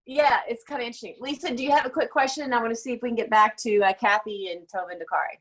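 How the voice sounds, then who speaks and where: A woman's voice: on the high side, fairly even intonation, fast, fairly bright tone. A woman hosting a casual panel discussion, talking emphatically and repeating words for stress. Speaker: podcast host.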